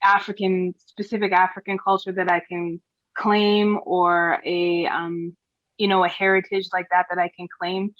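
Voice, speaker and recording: A woman's voice: on the low side, little pitch movement, measured pace, no room sound, highs cut off. A young woman from Canada asking a question in a casual, hesitant way with many fillers. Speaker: audience member asking a question.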